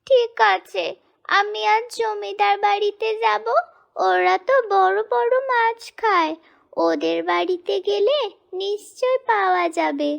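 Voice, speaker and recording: A woman plays a caring big-sister village girl in a cartoon folk tale. The voice is extremely high and slightly creaky, with slow, sing-song, careful phrasing. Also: lo-fi sound, full character voice.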